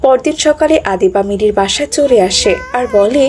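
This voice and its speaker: A woman narrates a scripted cartoon story. Her voice sits a little high, with music playing clearly behind her. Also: normal speed. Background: background music.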